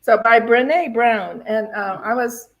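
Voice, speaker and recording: A woman's voice: a little high, slow, dull audio. A woman minister hosting a spiritual service with casual announcements and chatty, giggly asides.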